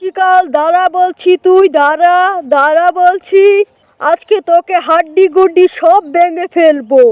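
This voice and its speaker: A woman's voice: very high-pitched, fairly even intonation, measured pace, darkish tone. A woman voicing a protective, hot-tempered uncle who scolds emphatically in a children's cartoon. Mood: furious, threatening. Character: protective uncle who keeps rabbits, quick to anger.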